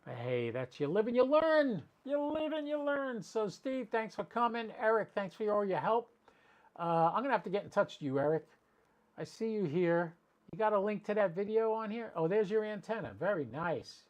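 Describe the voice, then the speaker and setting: A man's voice: high voice, animated, moderate pace. A man chatting casually and rambling as he hosts an amateur radio livestream, talking directly to his viewers.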